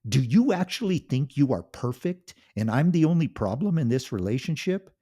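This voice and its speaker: A man voicing a hurt, desperate husband who shouts indignantly at his wife. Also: mid-range voice, very animated, normal speed, slightly rough, voice pushed up. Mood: angry shouting. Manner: raised, pleading, indignant shouting. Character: desperate, hurt husband finally fighting back.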